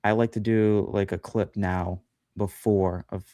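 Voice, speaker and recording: A man's voice: fairly low pitch, steady tone, unhurried, dark tone, no echo. A man chatting as a guest on a livestream podcast, talking loosely with lots of fillers and self-deprecating asides. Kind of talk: casual chat on a livestream.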